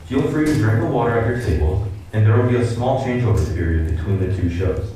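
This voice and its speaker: Male pre-show announcer, formal and measured, soft-edged tone, heard from afar in a very reverberant room. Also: fairly low pitch, animated. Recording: very echoey theater, distant, faint noise.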